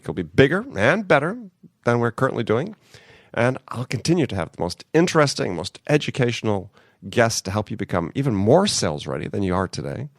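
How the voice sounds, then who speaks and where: A man's voice: lowish voice, no echo, studio quality. A man hosting a podcast in casual, upbeat talk while getting over a cold. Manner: upbeat, conversational. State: has a cold. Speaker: podcast host.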